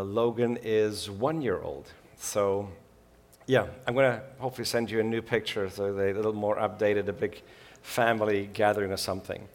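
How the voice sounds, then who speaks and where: Folksy Swiss-raised man preaching. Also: lowish voice. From Switzerland. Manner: folksy and joking, many uh fillers. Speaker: guest preacher. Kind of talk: sermon.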